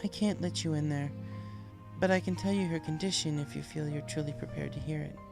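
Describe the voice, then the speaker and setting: A man voicing a polite, sympathetic but firm female pony nurse in a storybook, gentle and professional. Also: on the high side, steady tone, brisk, creaky, over music, pitched above the performer's own voice. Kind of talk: storybook character voice.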